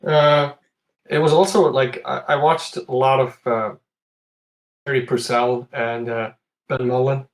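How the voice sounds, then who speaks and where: A man's voice: mid-range voice, little pitch movement, a little slow, low-quality recording. A man from Iceland chatting casually as a podcast guest, telling stories in a conversational way. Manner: chuckles often while talking. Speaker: podcast guest, competitive retro game player.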